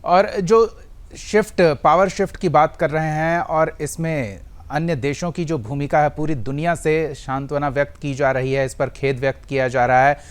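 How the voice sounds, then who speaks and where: A man's voice: a little high, steady tone, moderate pace, clear, resonant tone. An Indian man anchoring a live news discussion and putting questions to a guest.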